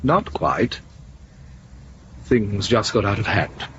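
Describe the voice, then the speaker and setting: Smug, sardonic spymaster, very animated pitch. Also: male voice, takes it slowly, muffled recording, slight background noise. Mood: amused, unrepentant. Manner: urbane, drily condescending. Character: smug, sardonic, evasive secret service officer. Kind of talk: radio drama acting.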